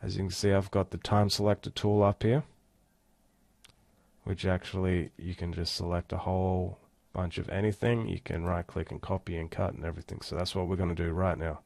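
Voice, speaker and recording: A man giving a casual, step-by-step software walkthrough as an instructor. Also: low voice, level tone, fairly quick, creaky, no room sound. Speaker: tutorial instructor. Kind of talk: screen-recorded software tutorial, casual walkthrough.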